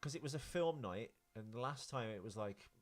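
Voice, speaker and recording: A man's voice: mid-range voice, lively intonation, a little fast, soft background music. A British man hosting a podcast, telling rambling anecdotes in an informal, sweary, self-interrupting way. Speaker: podcast host. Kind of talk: casual chat, rambling anecdotes.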